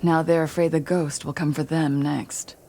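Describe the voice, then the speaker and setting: A woman's voice: low voice, little pitch movement, moderate pace, dark tone, slight background noise. Cold, bitter wounded woman. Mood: guarded, grave. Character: bitter, wounded woman, scornful former slave. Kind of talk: dramatic video game acting.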